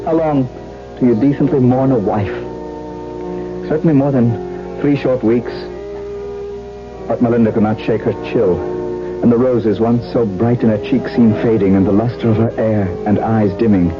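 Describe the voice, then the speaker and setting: A man's voice: mid-range voice, fairly even intonation, normal speed, pauses often, close to an everyday voice. An actor playing a tormented, obsessed nobleman, telling his tale theatrically in a 1970s radio drama. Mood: haunted, brooding. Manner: theatrical, brooding, impassioned. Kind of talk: 1970s radio drama acting, first-person narration. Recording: dull vintage AM radio recording, music behind.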